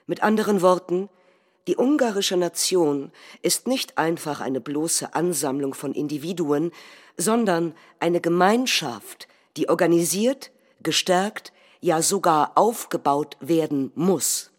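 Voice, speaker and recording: A woman acting as a calculating nationalist head of government, delivering a measured, rhetorical political speech in a theatrical reading. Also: lowish voice, lively intonation. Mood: measured, resolute. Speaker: stage actor.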